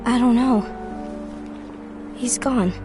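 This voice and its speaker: High-voiced, anguished young female leader. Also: slow pace, music in the background. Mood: subdued, troubled. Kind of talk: dramatic video game cutscene acting.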